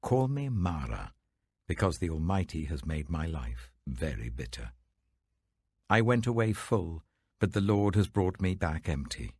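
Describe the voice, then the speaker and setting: A grieving older widow acted by a British man laments bitterly in a scripture audiobook. The voice is heavily husky and weary, yet emphatic, swinging widely in pitch. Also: big pitch swings, unhurried, darkish tone, dry recording, clean studio sound, heavily acted.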